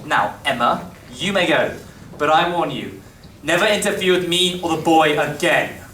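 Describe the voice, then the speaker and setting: In a roomy, echoing hall, picked up from a distance, a man plays a stern yet kindly English gentleman for a radio play. He speaks formally and declaims in a fairly high male voice. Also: moderate pace. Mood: stern, accusing. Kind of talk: amateur stage radio play acting. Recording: echoey hall from far off, faint noise.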